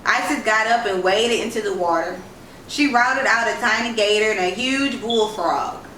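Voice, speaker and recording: A woman reading a literary story aloud as an expressive storyteller. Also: mid-range voice, moderate pace. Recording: roomy, distant, faint background noise.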